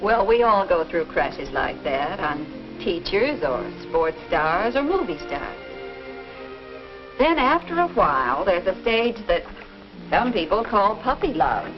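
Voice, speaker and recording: Gentle 1950s American mother, lilting delivery. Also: fairly low pitch, big pitch swings, takes it slowly. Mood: thoughtful, reassuring. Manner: patient, gently explanatory. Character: gentle, wise middle-aged mother advising her teenage daughter. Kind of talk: 1950s instructional film acting. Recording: dull vintage film soundtrack with music.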